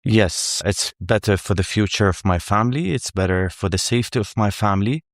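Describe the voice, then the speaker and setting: A middle-aged man from Lebanon, speaking as a journalist guest in an interview, in a measured way and repeating words as he searches for them. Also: fairly low pitch, no echo, clean studio sound. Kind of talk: interview answers.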